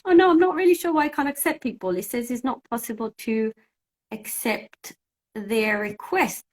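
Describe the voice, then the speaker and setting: A fairly high-voiced woman from Cyprus, a native Greek speaker, teaching English on a phone live stream. She chats casually and talks through each technical hitch as it comes up. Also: moderate pace. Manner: chatty, thinking aloud while fixing technical trouble.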